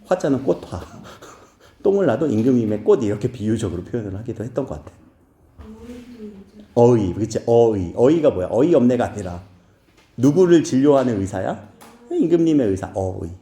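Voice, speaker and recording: Man, teacher, playful, quizzes the class, mellow dark voice, roomy echo. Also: medium pitch, normal speed. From Seoul. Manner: lively, questioning students, playful asides. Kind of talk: classroom lecture.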